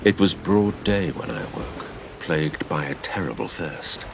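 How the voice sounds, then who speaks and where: A man narrating a dramatised adventure story in a theatrical, measured storytelling manner. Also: very deep voice, animated, vocal fry, over music.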